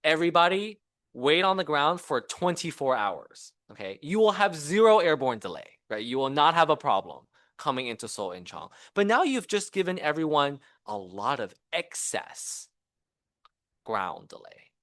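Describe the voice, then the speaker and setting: A man giving an engineering seminar talk as a professor, in a conversational, animated manner with rhetorical questions. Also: a little high, normal speed, no echo, clean studio sound. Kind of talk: engineering lecture. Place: seminar room at a university.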